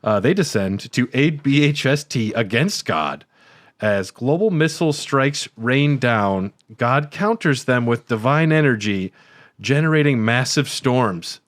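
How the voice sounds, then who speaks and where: A man's voice: medium pitch, lively intonation, a little slow, dry recording, studio quality. A man co-hosting a podcast, reading out a silly plot summary and trading wry, casual jokes. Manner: wry, joking. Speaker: podcast co-host.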